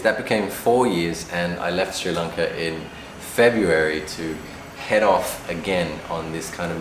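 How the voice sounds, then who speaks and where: A man from Australia, a musician, talking casually about his life in a relaxed, wry, self-deprecating way. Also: sits low. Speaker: musician interviewee. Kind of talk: casual storytelling to camera. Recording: roomy echo with background noise.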